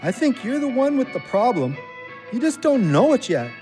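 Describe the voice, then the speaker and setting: A man's voice: very high-pitched, sing-song, moderate pace, darkish tone, faint music behind. A man playing an earnest, plain-spoken ex-convict and loyal friend in a naturalistic audio drama. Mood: challenging.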